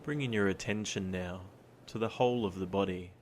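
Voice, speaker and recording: A man guiding a meditation in a calm, soothing, gentle manner, with long pauses between his instructions. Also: sits low, a little hiss or hum. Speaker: meditation guide. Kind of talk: guided body scan meditation. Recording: surf sounds behind the voice. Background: ocean surf ambience.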